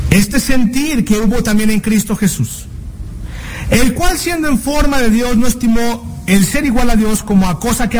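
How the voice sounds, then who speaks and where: High-voiced man preaching a slow, earnest sermon. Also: a little hiss or hum, poor audio. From Mexico. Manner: earnest, slows and stretches words for emphasis, asks rhetorical questions.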